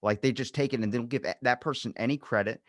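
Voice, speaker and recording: A man's voice: medium pitch, fast, fairly bright tone, dry recording. Casual male podcast host, close dry sound. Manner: informal, rambling, uses quoted asides. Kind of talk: casual chat.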